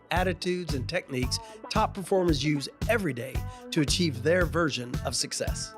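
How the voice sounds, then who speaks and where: Higher-voiced man hosting a podcast. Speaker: podcast host and interviewer. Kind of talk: conversational interview with scripted show intro.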